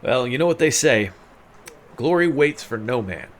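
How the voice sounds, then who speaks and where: A man's voice: medium pitch, lively intonation, normal speed, slight background noise, pitched above the performer's own voice, close to an everyday voice. A man voicing a cocky older adventurer in a fantasy audio story, breezy, sardonic and theatrical with his joking banter. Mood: roguish swagger.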